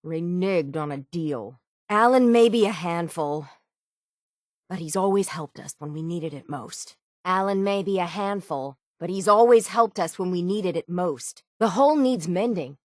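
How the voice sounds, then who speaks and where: A woman voicing a gruff, stubborn, hard-bitten shipwright in a video game, with salty, plainspoken seafaring talk. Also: mid-range voice, no room sound, clean studio sound, close to an everyday voice. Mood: grave, then weary, insistent. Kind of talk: video game character dialogue.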